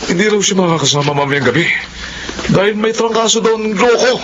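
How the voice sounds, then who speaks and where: A brash young thief who leads the job and swears freely, as an actor plays him in a radio drama. His voice sits a little high and swings widely in pitch. The recording is dull and lo-fi, with steady background noise. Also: fairly quick. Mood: irritated. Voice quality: brash, cursing. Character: brash young male thief and gang leader.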